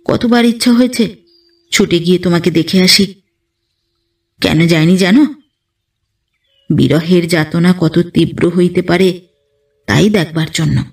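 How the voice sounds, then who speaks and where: A woman's voice: on the low side, darkish tone, distant mic. A woman voicing an emotional, self-dramatizing writer husband tormented by love, in a theatrical audio story.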